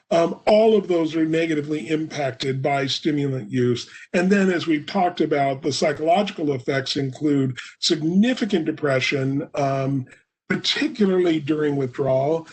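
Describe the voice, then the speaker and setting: Hoarse guy doing a webinar talk, kinda husky, slightly high voice, lots of ums. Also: darkish tone, rough recording. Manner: measured, explanatory, frequent fillers. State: hoarse, coughing. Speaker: presenter. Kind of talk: webinar lecture. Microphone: computer microphone.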